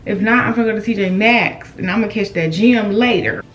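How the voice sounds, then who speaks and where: A woman's voice: mid-range voice, brisk, fairly bright tone, reverberant, dull audio, a little hiss or hum. A young woman chatting candidly to her viewers and her dog in a casual vlog. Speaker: vlogger. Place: apartment rooms and a parked car.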